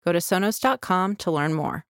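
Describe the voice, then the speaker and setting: A woman's voice: fairly low pitch, moderate pace, dry recording. A woman announcer giving a scripted sponsor read.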